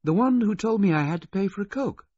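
A man's voice: sits high, lively intonation, quick pace, a little mellow, husky, dry recording, lo-fi sound, voice pushed up. A man voicing a sulky, bored rich teenage girl who blurts things out, in an audiobook story. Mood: disgruntled. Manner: disgruntled, blurting.

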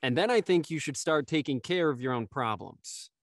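A man's voice: fairly high pitch, lively intonation, moderate pace, dry recording, clean studio sound. A man playing a hungover, irritable and dismissive young man in an audio drama, grumbling and curt. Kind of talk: naturalistic audio drama read-through. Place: home, on a video call.

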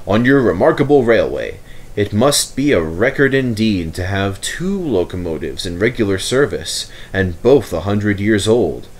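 A man voicing a kindly, formal middle-aged duke speaking courteously in a storybook reading. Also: on the low side.

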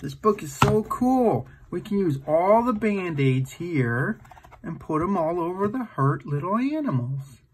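Male storytime host, very lively pitch swings, unhurried pace, playful read-aloud for little kids. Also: a little hiss or hum, rough recording. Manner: playful, exaggerated, asks the listener questions. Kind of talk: animated read-aloud picture book storytime for young children.

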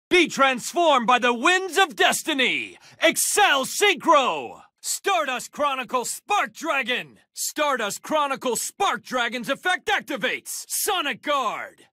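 A man's voice: very high voice, big pitch swings, sharp tone, no echo, clean studio sound, full character voice. An actor playing a heroic, determined young male card duelist in an anime video game, with intense, dramatic declarations. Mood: fierce, triumphant. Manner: intense, dramatic declarations, drawn-out attack calls.

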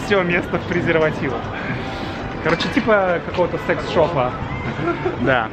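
A young man hosting a vlog, chatting excitedly on a city street and in a restaurant, filmed at arm's length. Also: fairly high pitch, over loud music, over a noisy crowd, low-quality recording. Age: young adult. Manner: excitable, exclaims loudly, explains slowly. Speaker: vlog host, Russian teacher. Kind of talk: casual chat.

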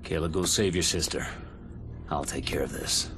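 Loud music surrounds a rock-bottom, growling male voice. a gruff, lone mutant warrior for a video game. He is terse, brooding and worn down by a hard life. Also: a bass voice, moderate pace. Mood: grim, taunting. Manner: terse, brooding, world-weary. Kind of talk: video game cutscene acting and narration.

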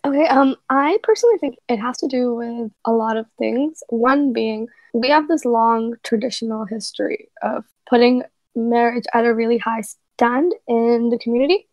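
A woman's voice: fairly high pitch, normal speed, darkish tone, no room sound, highs cut off. A woman guest on a podcast who explains her views at length, thinking aloud and hedging. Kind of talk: casual podcast discussion.

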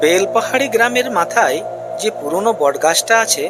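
A storyteller giving scripted narration for an animated village folk tale. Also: mid-range voice, normal speed. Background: music.

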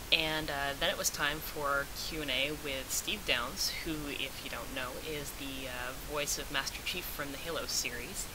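A young woman giving a casual vlog recap, speaking as if to friends from a room at home. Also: low-pitched. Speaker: vlogger recounting a fan convention. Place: small room at home, indoors. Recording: noisy home recording with hiss.

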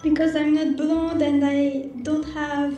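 High-voiced young woman, slow giggly English. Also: little pitch movement, over music. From Algerian roots. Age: young adult. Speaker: video chat stranger. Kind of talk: casual video chat. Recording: echoey, distant video-call audio.

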